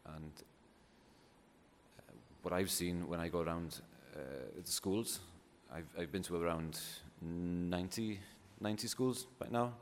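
Deep-voiced Welsh man in an echoey hall. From Wales. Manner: hesitant, halting, thoughtful. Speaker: poet and children's laureate giving a talk. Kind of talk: informal unscripted public talk. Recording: echoey hall, clear background noise.

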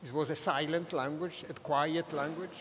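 A man from Naples with a Neapolitan Italian accent, a non-native speaker, talking haltingly as a translator guest on a panel. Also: on the high side, steady tone, slight background noise. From Naples, Italy. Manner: halting, searching for English words. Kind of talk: panel discussion. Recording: echoey hall.

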